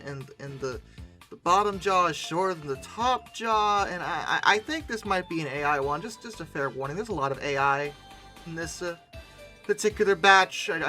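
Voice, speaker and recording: This is a video host delivering humorous reaction commentary with a bright, forceful edge. The pitch moves around a great deal, and the delivery is sardonic and theatrically exasperated. Phrases get repeated for emphasis, and music plays quietly behind the voice. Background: background music.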